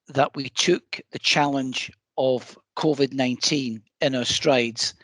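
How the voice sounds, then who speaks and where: A man's voice: mid-range voice, fairly even intonation, takes it slowly, a bit bright, no echo. A middle-aged Scottish man, a council general manager, giving an earnest scripted address to camera. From Scotland.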